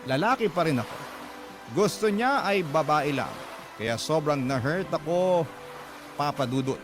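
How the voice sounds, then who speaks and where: Isang lalaking gumaganap na madramang baklang binata na nagkukuwento ng pag-ibig niya sa isang drama sa radyo. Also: male voice, a little high, animated, a little slow, over music. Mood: wistful, hurt. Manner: effeminate, dramatic, confiding. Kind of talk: first-person radio drama letter narration.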